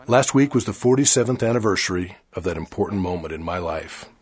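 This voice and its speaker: Older man, husky voice, lowish pitch, from Chile, earnest sermon delivery. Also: animated, fairly quick. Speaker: church speaker. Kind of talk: formal sermon.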